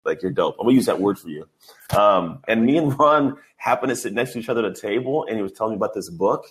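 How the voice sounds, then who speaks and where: Man, podcast host, casual, slangy, fast, lowish voice. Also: lively intonation, poor audio. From Bay Area, USA. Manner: enthusiastic, slangy, many fillers. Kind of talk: casual interview chat.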